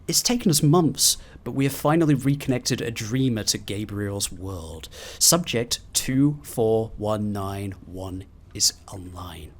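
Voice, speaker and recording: Expressive man with widely swinging pitch. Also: measured pace. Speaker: gaming video host. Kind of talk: commentary and dramatic reading of game documents.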